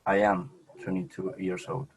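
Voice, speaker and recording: A man's voice: low-pitched, level tone, normal speed, breathy edge. A young man in his twenties with a Colombian Spanish accent, a student hesitantly practicing English on a video call. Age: 20s.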